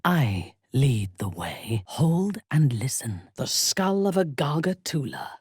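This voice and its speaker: A woman playing an imperious, ruthless female warrior cleric in a fantasy video game, with haughty, deliberate, theatrical delivery and a husky, breathy voice. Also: low voice, dry recording, clean studio sound, exaggerated voice. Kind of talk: dramatic fantasy game voice lines.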